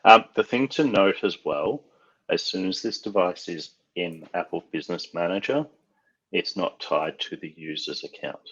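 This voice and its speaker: Low husky man giving a tech tutorial. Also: normal speed, a bit bright, lo-fi sound. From Australia. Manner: casual, thinks aloud with fillers. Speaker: IT trainer. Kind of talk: screen-share tech tutorial.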